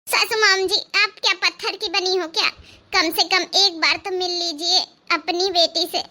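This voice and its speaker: Lady doing bossy young cartoon girl, super high sharp voice, assertive and scolding, comedy drama. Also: steady tone, moderate pace, full character voice. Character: bold, bossy young woman standing up to a mother-in-law.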